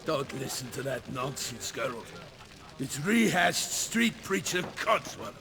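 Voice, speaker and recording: An actor playing a pompous, excitable, good-natured knight in a fantasy video game, speaking in a florid, theatrical manner. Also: male voice, mid-range voice, measured pace, gravelly. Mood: dismissive. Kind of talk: video game cutscene acting.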